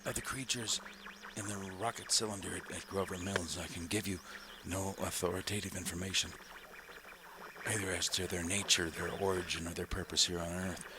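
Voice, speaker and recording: An actor playing a formal male professor giving a measured explanation in an old radio drama. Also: sits low, steady tone, natural voice. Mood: grave. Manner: slow, formal, hesitant. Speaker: scientist. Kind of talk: 1930s radio drama acting. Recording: noisy old radio recording, direct wire.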